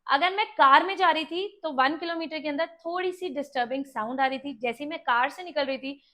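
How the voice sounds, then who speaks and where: A woman's voice: high voice, fairly quick, sharp tone. A woman with an Indian accent presenting a product demonstration in a conversational, explanatory way, mixing in English words. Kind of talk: walkie-talkie review with demonstration.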